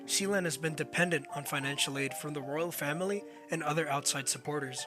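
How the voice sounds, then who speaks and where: A man narrating a documentary from a script. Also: a little high.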